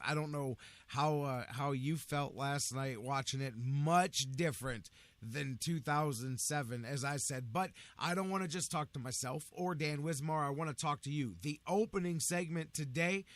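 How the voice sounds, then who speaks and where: A man with a gravelly, sleep-short voice hosting a sports talk radio show, energetic and rambling with catchphrases. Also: fairly high pitch, no echo.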